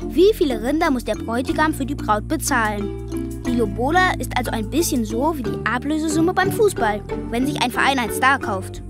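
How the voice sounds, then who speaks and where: A curious child among friends. The voice is high and matter-of-fact, explaining things in children's audio drama style, with clear music underneath. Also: female voice, expressive, fairly quick. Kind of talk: children's radio drama acting.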